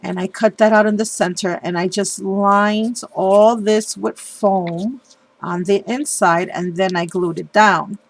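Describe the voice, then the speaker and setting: A woman with a cold, sounding stuffed up, chatting casually through a craft tutorial with many pauses and apologies. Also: mid-range voice, fairly even intonation. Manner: chatty, apologetic, hesitant with fillers. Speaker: craft video host.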